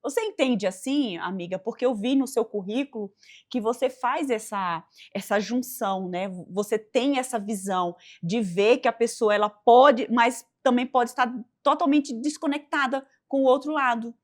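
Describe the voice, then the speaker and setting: A woman with a fairly high voice hosts a faith-based interview. She is enthusiastic and preacherly and keeps exclaiming at her guest's answers. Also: moderate pace. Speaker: host.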